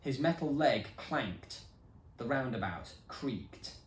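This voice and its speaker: A young man reading a children's story aloud with dramatic, expressive delivery and slow pauses. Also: mid-range voice. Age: young adult. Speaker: reader. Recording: echoey room.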